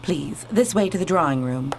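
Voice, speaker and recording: Deferential, animated housemaid played by a woman. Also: deep voice, normal speed. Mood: formal. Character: timid, deferential housemaid, easily dismayed. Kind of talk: radio play acting. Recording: faint background noise.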